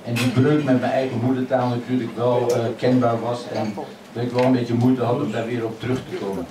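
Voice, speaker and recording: A man reading his poems aloud in a measured, expressive way at a microphone in a crowded old café. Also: medium pitch, distant mic. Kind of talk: poetry reading. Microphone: stand mic, filmed from across the room. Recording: echoey café filmed from afar, loud chatter.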